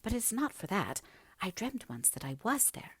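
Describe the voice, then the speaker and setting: A woman voicing a passionate, headstrong young gentlewoman in a dramatic, emphatic audiobook reading, breathy at times. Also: medium pitch, sing-song, normal speed, dry recording, voice pushed up. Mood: wistful, confiding.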